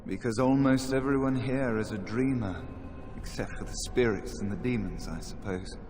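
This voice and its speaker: An actor playing a weary, despairing trapped mage in a video game, speaking in a halting, resigned, bitter manner. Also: male voice, medium pitch, a little mellow, soft background music, lightly acted. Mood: hesitant, musing. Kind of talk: dramatic video game dialogue. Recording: game audio with noisy ambience behind.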